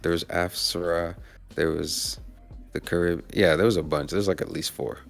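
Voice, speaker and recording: Podcast guest, male, from Nigeria, very deep voice with gravel, relaxed and reflective. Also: moderate pace, faint music behind. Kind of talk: casual chat.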